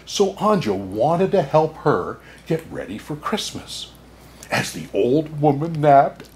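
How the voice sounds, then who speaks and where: A jolly old Santa Claus, played by a man, reads a children's storybook in a mid-pitched, very animated voice. He is kindly and excitable, given to "oh my" exclamations and asides to young listeners. Some room noise sits behind him. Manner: hearty chuckles and frequent "oh my" exclamations.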